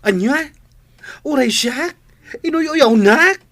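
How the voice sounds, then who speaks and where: A woman's voice: high-pitched, animated, slow, a bit bright, full character voice. Woman acting a fiery, melodramatic wronged village woman, Ilocano accent. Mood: shocked, hurt. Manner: melodramatic, complaining. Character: fiery, wronged village woman feuding with her best friend. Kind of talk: Ilocano comedy radio drama acting.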